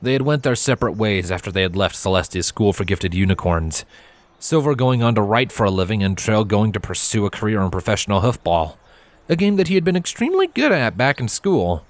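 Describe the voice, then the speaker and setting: A man reading a story aloud as narrator, in an expressive scripted storytelling style. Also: lowish voice, a little fast, no echo.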